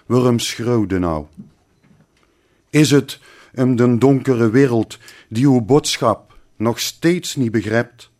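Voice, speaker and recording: A man reciting a poetic meditation in a solemn, measured way with deliberate, repeated phrasing. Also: mid-range voice, a little mellow. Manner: measured phrasing with rhetorical questions and repetition.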